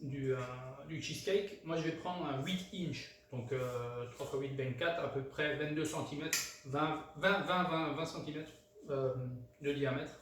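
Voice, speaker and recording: A man's voice: fairly high pitch, a little slow, fairly bright tone. A man from France hosts a casual cooking tutorial, hesitant and full of fillers and restarts. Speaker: home cook, channel host. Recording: very echoey room, mic far off, clear background noise.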